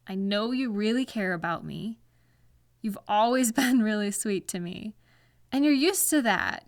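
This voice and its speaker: A woman playing a caring, patient girlfriend in a soft-spoken ASMR roleplay, speaking gently and reassuringly to comfort a partner. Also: mid-range voice, no echo, clean studio sound.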